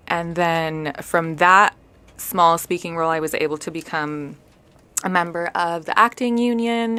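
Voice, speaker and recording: A woman's voice: lowish voice, sharp tone, smooth. A woman in her thirties, from Canada and Spain, chatting as an interview guest with frequent "um" fillers. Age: 30s. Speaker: guest, model and actor. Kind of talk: casual interview chat.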